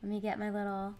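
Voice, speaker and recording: A woman with a Boston accent, hosting a podcast in casual, bubbly chat and laughing often. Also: mid-range voice. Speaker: podcast host.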